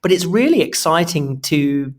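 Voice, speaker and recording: A man hosting a podcast interview in a conversational style, with halting, wordy phrasing. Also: on the high side, animated. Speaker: podcast host.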